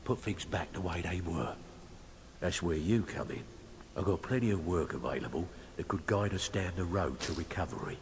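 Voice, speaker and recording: Shady middle-aged fixer, deep and gruff, fantasy game, shrewd, plain-spoken, noisy background. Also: male voice, normal speed. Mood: conspiratorial. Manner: blunt, colloquial, streetwise. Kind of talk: fantasy video game dialogue acting. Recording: noisy game capture with ambience.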